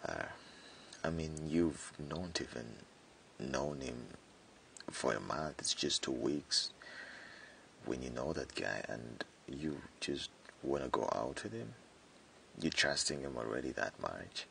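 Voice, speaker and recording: A man voicing a jealous tsundere friend confessing hidden love, speaking haltingly in an intimate boyfriend ASMR roleplay. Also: very animated, a bit bright, a little hiss or hum, full character voice. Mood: defensive, jealous. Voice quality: very deep, gravelly. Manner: hesitant, halting, with stammered repeats.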